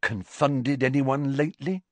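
A man's voice: medium pitch, unhurried, a bit bright, no echo, pitched above the performer's own voice, close to an everyday voice. A man voicing a casual teenage schoolboy hero in an audiobook, breezy and deflecting with an innocent-sounding manner. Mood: evasive.

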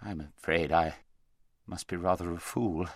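A man's voice: low-pitched, wildly swooping pitch, normal speed, vocal fry, a bit bright, no echo, clean studio sound, pitched below the performer's own voice, exaggerated voice. A man voicing a proud, pompous male horse who is easily frightened, in a storybook reading. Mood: shaken, humbled. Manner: pompous, lecturing.

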